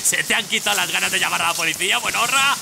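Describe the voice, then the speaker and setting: A man's voice: sits high, fast talker, gravelly, noisy background. An actor playing a brutal, lustful male serial killer in an audio drama, coarse and colloquial, swinging between coaxing and menace. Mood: gloating, exerted.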